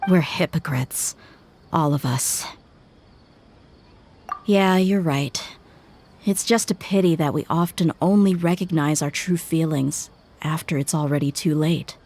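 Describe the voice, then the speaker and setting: An actress voicing a tough, reflective female mercenary in a video game, speaking calmly and candidly. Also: low voice, measured pace, long pauses, slight background noise.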